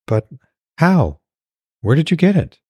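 An anxious woman of a fantasy tale, acted by a male narrator, speaks in a raised, slightly husky pitch. She is wary and questioning, hesitating over her words in a dry, close audiobook recording. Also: wildly swooping pitch, a little slow, mellow, dry recording, full character voice. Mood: curious, amazed.

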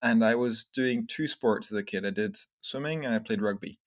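Low-ish voiced guy in his 30s, grew up in france, laid back, lots of you know and like, answering podcast questions. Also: moderate pace. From Scotland, grew up in France. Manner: relaxed, reflective, many fillers like "you know" and "like". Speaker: podcast guest, entrepreneur. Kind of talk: casual interview.

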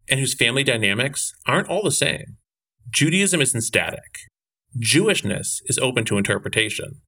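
A man hosting a podcast, giving a measured, earnest scripted narration. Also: medium pitch, gravelly, pushed and bright, recorded from a distance. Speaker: podcast host.

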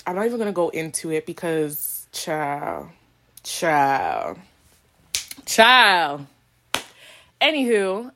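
A woman's voice: on the low side, a bit bright. A woman in her late twenties from Canada, co-hosting a podcast in a casual, playful, sassy conversational style full of slangy asides and repeats. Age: late 20s. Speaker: podcast co-host. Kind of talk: casual self-introduction, conversational podcast talk.

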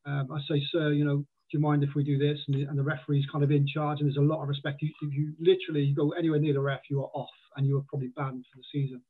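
A man's voice: fairly high pitch, flat delivery, very fast, few pauses, breathy edge. Welshman with a mixed accent on a tinny line. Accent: mixed Welsh and southern English. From Wales. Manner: chatty, many restarts and acted quotes. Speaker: podcast guest. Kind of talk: casual chat.

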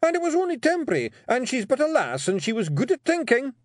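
Male henpecked wee Scottish clan chief, excitable, high and swooping, storybook fantasy. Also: fairly quick, no room sound, clean studio sound. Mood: flustered, pleading. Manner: exclamatory, excitable, faltering under pressure. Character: blustering, henpecked little fairy clan chief, easily flustered. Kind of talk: audiobook character voice.

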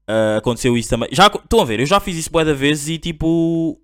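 A man's voice: fairly high pitch, animated, a bit bright, clear, resonant tone, no echo. A young man from Angola hosting a podcast, talking casually and loosely with lots of slang fillers. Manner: slangy, drawn-out "tipo" and "bro". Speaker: podcast host.

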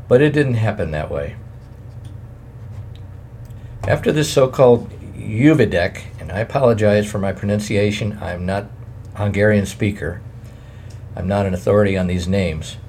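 A man reading a wartime memoir aloud in a plain, measured way, adding his own editor's notes. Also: fairly low pitch, a little mellow, a little hiss or hum.

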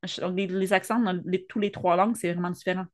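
A woman's voice: fairly low pitch, steady tone, quick pace. A woman co-hosting a podcast, speaking earnestly in French with a Spanish tinge and stumbling over her first words. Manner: earnest, repeats words when starting sentences. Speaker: podcast co-host. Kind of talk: casual conversation.

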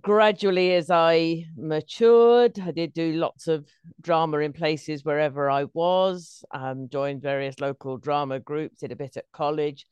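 A woman's voice: low voice, steady tone, a little slow, a little mellow, clean tone, dry recording, muffled recording. A middle-aged woman, a stage performer telling anecdotes as an interview guest.

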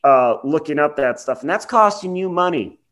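A man co-hosting a podcast, giving sardonic, halting, profane asides in casual movie banter. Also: fairly high pitch, lively intonation, a bit bright. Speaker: podcast co-host.

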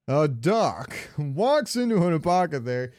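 A man's voice: a little high, sing-song, measured pace, darkish tone, no echo. A man co-hosting a podcast, chatting casually about games, joking and thinking aloud on a close microphone. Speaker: podcast co-host. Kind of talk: casual gaming chat.

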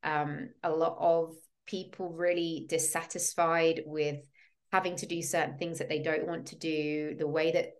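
A woman in her thirties from the UK speaks in a low, grounded voice as she hosts her own podcast. She tells her life story in an earnest, reflective way, and her delivery lifts when she reaches the more emotional moments. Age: 30s. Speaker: podcast host, women's coach. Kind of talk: personal storytelling monologue.

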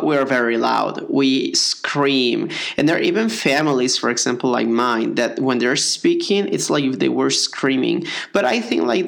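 Gravelly-voiced Mexican man. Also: mid-range voice, fairly bright tone. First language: Spanish. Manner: rambling, many fillers and restarts. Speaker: podcast co-host. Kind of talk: casual conversation.

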